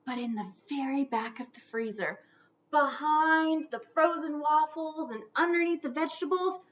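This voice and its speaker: A woman reading a children's storybook aloud theatrically, stretching out words and dropping into hushed whispers for suspense. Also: high voice, moderate pace, dull audio.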